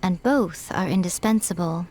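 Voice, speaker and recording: A woman's voice: lowish voice, moderate pace, darkish tone, close to an everyday voice. A woman playing a poised, knowing fox shrine maiden in a video game, eloquent and self-assured, with a teasing manner. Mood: gently serious. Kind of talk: English video game voice acting. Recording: music under the voice.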